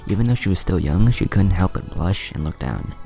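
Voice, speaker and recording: A man reading a fan fiction story aloud in a plain, steady way, with the odd slip. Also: sits low, fairly quick, vocal fry, soft background music.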